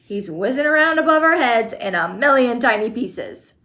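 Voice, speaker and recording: A woman's voice: on the high side, lively intonation, moderate pace, fairly bright tone. A woman voicing a blunt, exasperated middle-aged father who speaks sharply.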